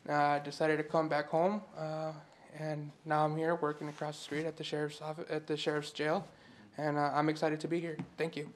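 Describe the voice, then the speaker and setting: A man who grew up in Minnesota introducing himself as a new jail employee, plain and steady with a few fillers. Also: fairly high pitch, a little fast, slight background noise. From Mexico, raised in Minnesota. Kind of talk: self-introduction at a public meeting.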